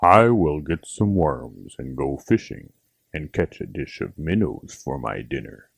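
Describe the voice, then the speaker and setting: Man putting on a very deep gravelly gentleman frog. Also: sing-song, measured pace, no echo, heavily acted. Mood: planning, composed. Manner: formal, genteel phrasing. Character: small gentleman frog angler, fussy and proper.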